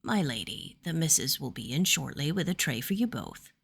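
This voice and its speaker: A woman puts on an old household steward in a fantasy tale. He sounds low and gruff, fussing and folksy, with kindness underneath. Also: very animated, normal speed, full character voice. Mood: dutiful.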